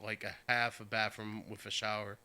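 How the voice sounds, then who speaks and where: Bright, clear-voiced Portuguese-born man. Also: fairly low pitch, level tone, normal speed. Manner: rambling, self-correcting, laughs easily. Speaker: podcast guest. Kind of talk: casual storytelling.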